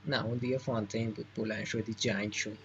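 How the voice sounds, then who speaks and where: A man's voice: fairly low pitch, little pitch movement, racing, creaky, muffled recording, a little hiss or hum. A Persian-speaking man giving casual commentary as he streams a game. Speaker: gaming streamer.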